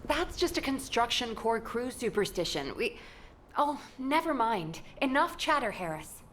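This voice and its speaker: An actress playing a capable, stern, dryly wry woman soldier with a brisk manner in a video game cutscene. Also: fairly high pitch, big pitch swings, fairly bright tone, a little hiss or hum. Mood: exasperated, brisk.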